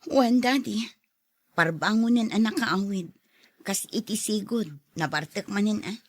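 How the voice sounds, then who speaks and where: A woman's voice: mid-range voice, measured pace, natural voice. A woman playing a worried, long-suffering wife and mother in a radio drama, with an Ilocano accent. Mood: worried, apologetic.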